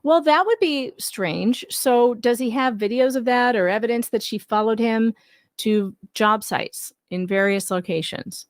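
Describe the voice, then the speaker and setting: A woman's voice: on the high side, unhurried, no room sound, studio quality. Skeptical, emphatic woman livestream commentator. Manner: argumentative, skeptical, emphatic, repeats points for stress. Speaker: livestream commentator and video host. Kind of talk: casual live commentary on a legal case, reading claims aloud and rebutting them.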